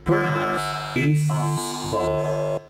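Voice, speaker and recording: A woman's voice: extremely low pitch, very animated, drawn-out words, full character voice. A woman voicing a mocking, faceless animatronic menace in a horror video game. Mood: taunting, brooding. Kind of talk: video game character voice acting. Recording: echoing game audio under music.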